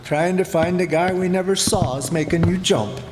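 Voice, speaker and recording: Patrol cop, male, played by an actor: slangy, steady, higher-set voice, old radio style, hall reverb, music behind. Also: normal speed, natural voice. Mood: steady, chiding. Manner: slangy, tough, reasoning. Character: steady, reasoning beat cop, calming his partner. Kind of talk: old-time radio crime drama acting. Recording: reverberant hall stage performance.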